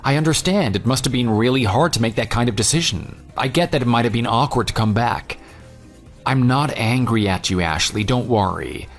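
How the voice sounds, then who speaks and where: Earnest jilted ex-fiance with lively intonation acted by a man. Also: medium pitch, moderate pace, faint music behind, lightly acted. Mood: concerned, understanding. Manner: earnest, questioning. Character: jilted, forgiving ex-fiance, concerned but questioning. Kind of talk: radio drama dialogue.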